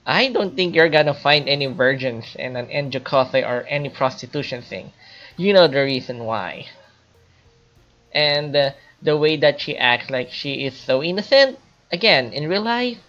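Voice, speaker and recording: A woman giving an informal spoken game review in a hesitant, halting, explanatory way. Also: low-pitched, a bit bright, lo-fi sound. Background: light background music.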